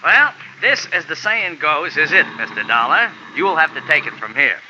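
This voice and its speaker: An actor playing a small, excitable male jockey in a 1950s radio drama, talking fast, wordy and emphatic. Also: fairly high pitch, slight background noise. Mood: earnest. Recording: lo-fi old radio broadcast.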